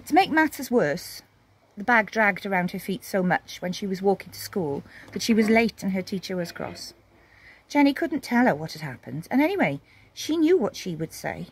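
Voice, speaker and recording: Animated woman reading a children's story. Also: medium pitch, slight background noise. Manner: dramatic, with pauses and emphasis.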